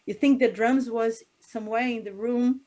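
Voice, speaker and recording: A woman's voice: a little high, fairly even intonation, moderate pace, dark tone. Woman musing thoughtfully. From Brazil. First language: Portuguese. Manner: thoughtful, searching for words with frequent restarts and laughs. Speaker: singer. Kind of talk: interview conversation.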